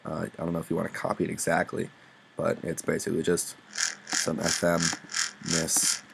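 A young man with a very low voice that crackles with vocal fry. He talks through a tutorial off the cuff, informal, sweary and self-deprecating. The recording has clear background noise with little clicks. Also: monotone, normal speed. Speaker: music production tutorial presenter. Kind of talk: casual unscripted screen-recorded tutorial.

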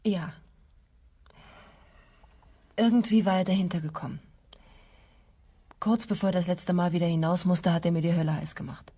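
A woman's voice: fairly low pitch, fairly even intonation, normal speed, pauses often, warm tone, slightly rough. An actress playing a defensive, anxious married woman in a German crime radio play, guarded and evasive in her answers. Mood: anxious, confessing.

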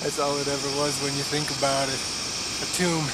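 An actor playing a jittery, paranoid, defensive middle-aged tinkerer in a video game, nervous and stammering, with an American accent. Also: male voice, medium pitch, little pitch movement, a little fast, heavy background noise, lightly acted. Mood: haunted, bitter. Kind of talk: video game cutscene acting. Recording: loud film-score music behind.

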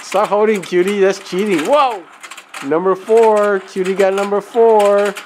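A woman's voice: medium pitch, fairly even intonation, a little mellow, slight background noise. A woman in her thirties behind the camera at home, explaining a kids' game and cheering the children on with teasing, laughing play-by-play. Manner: upbeat, teasing, cheering kids on with quick laughs. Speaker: parent hosting a family toy channel. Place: home dining room, indoors. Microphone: camera mic, speaker off camera. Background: background music.

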